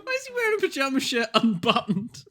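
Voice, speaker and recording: A man's voice: very high-pitched, wildly swooping pitch, quick pace, sharp tone. A man co-hosting a film commentary in casual chat, with a giggly, mocking manner. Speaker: commentary co-host.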